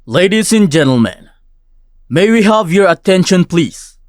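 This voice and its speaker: A man's voice: high voice, sing-song, measured pace, no room sound, studio quality. A man playing a polite, ceremonious event host making a stage announcement in an audio drama.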